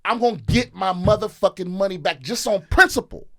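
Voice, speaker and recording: A man's voice: high voice, fairly quick, dry recording, studio quality. A man in casual podcast chat, ranting and swearing, with many restarts. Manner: ranting, profane, repeats and restarts. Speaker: comedian guest.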